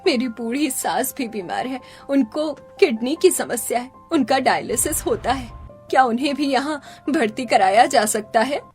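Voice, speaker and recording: A woman's voice: high voice, expressive, moderate pace, faint music behind. A woman voicing a distraught, pleading poor village wife in a Hindi cartoon story. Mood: troubled. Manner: pleading, tearful.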